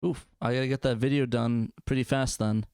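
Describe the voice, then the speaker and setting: A man's voice: medium pitch, steady tone, brisk, a little mellow, clear, resonant tone, dry recording, clean studio sound. A man hosting a casual group chat about games in a hesitant, jokey way. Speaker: host of a gaming video show.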